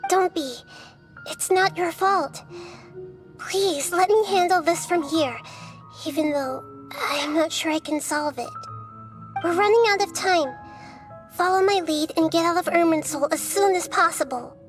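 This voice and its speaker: A woman playing a gentle, wise, childlike goddess in a video game, composed, earnest and reassuring. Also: very high voice, unhurried, faint music behind. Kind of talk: video game character acting.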